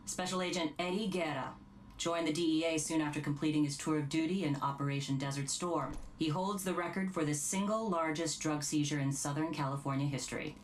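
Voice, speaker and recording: A businesslike female task force chief in a crime game, acted by a woman, briefs in a notably deep voice. She is formal and composed, heard a little off-mic over faint noise. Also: a little fast, recorded from a distance, close to an everyday voice. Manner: formal, crisp official briefing.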